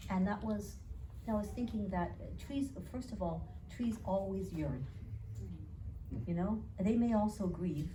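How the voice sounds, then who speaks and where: A woman from New York City with a New York accent, an educator and author thinking aloud and telling stories with frequent fillers and restarts in a conversational interview. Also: mid-range voice. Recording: distant mic with clear background noise.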